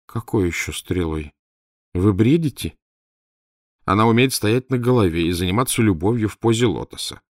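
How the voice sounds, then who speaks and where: A middle-aged head doctor, caught off guard, talks in a flustered, indignant rush, pitched high and loud against the narrator's deep baseline, as a male narrator plays him. The recording is dry and close. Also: lively intonation, moderate pace, no echo, natural voice. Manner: nervous, indignant.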